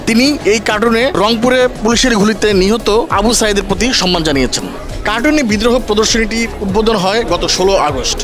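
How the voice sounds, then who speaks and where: Fast, high-voiced Bangladeshi male reporter. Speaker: news reporter. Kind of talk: on-location news report narration. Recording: noisy crowd and music behind. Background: crowd noise and music.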